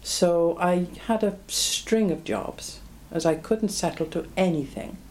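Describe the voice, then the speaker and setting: Low, mellow-voiced woman in her seventies. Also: measured pace, a little hiss or hum. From Belgium. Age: 70s. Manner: reflective, plain-spoken, frequent ums. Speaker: oral history interviewee. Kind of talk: oral history interview.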